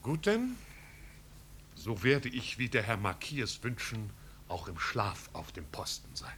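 An actor playing a pompous, dutiful servant of a marquis in a 1950s radio play, speaking in stilted, long-winded, ceremonious phrases. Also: male voice, fairly low pitch, very animated, measured pace, a bit bright. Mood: deferential. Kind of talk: 1950s German radio drama acting. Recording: 1950s radio recording with hiss.

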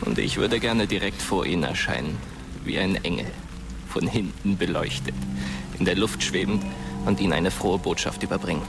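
A deep, creaky male voice narrates as a bitter teenage ghost in a radio drama. He broods over the living, with prominent music underneath. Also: moderate pace. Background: music and rain.

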